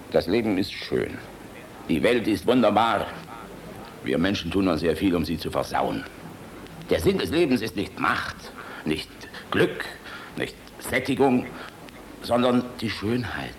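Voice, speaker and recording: Gruff old general, widely swinging delivery. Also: male voice, lowish voice, a little hiss or hum. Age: older. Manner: blustery, impassioned, philosophizing, shifting between barking and tenderness. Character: aging gruff, fatherly, world-weary German army general. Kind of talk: German radio play acting.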